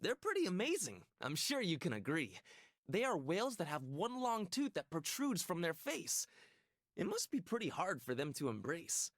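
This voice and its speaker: Children's learning game guide, voiced by a woman: friendly, young, very animated, rhyming narration, close dry sound. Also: on the low side, normal speed, dry recording. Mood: cheerful. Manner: sing-song rhyming delivery.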